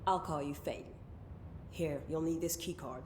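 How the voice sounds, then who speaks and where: A stern senior government agent in a video game, played by an actress. She speaks in a fairly low voice, formal and clipped, keeping things close to the chest. A clear rumble runs behind her. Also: normal speed, close to an everyday voice. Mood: brisk, businesslike. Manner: formal, clipped, matter-of-fact. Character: stern, secretive female senior agency official. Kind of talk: video game cutscene dialogue.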